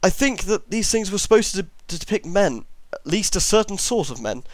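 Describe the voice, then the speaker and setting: A man's voice: high voice, very animated, moderate pace, dry recording. A man narrating a horror story as an amateur reader, now and then stumbling over long words and correcting himself.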